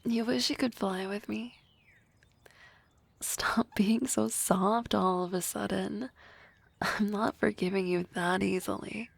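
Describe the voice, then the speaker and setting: A woman's voice: mid-range voice, fairly even intonation, takes it slowly, vocal fry, no room sound. A woman playing a teasing young harpy girl. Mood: playful. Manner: soft, often whispered, teasing. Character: energetic young female harpy classmate, teasing. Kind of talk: ASMR roleplay.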